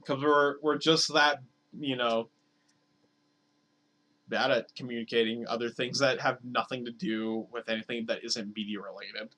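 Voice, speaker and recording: A man streaming games, talking casually and rambling with lots of fillers, his voice slightly hoarse. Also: mid-range voice, fairly even intonation, measured pace, pushed and bright. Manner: rambling, filler-heavy, repeats words. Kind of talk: casual live-stream chat over gameplay.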